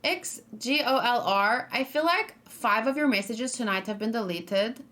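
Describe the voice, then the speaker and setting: A woman's voice: fairly high pitch, lively intonation, a little slow, fairly bright tone. A young woman chatting casually on a live stream, thinking aloud and reading out chat messages as she reacts to game trailers.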